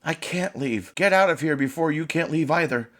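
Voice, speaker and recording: A man doing a hushed, doomed young boy. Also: on the high side, moderate pace, pitched above the performer's own voice, close to an everyday voice. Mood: resigned. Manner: hushed, urgent.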